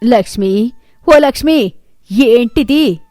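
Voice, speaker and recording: A woman voicing a stern, domineering older mother-in-law in a story, scolding loudly and sharply. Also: fairly high pitch, expressive, slow pace, no room sound, studio quality. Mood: angry scolding.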